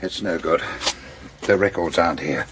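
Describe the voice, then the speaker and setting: Very low male voice, police investigator, acted by a man, impatient, authoritative, radio drama, some background noise. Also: lightly acted. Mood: exasperated. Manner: brisk, authoritative, exasperated.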